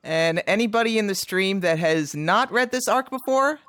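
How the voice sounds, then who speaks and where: A woman's voice: mid-range voice, expressive, normal speed, fairly bright tone. A woman chatting casually as a participant in a livestream.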